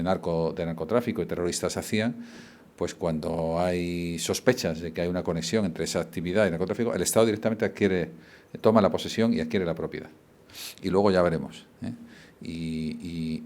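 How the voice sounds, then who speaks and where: A man's voice: sits low, darkish tone. A middle-aged man giving a rambling speech at an event, with many fillers and restarts. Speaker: law firm partner giving a speech.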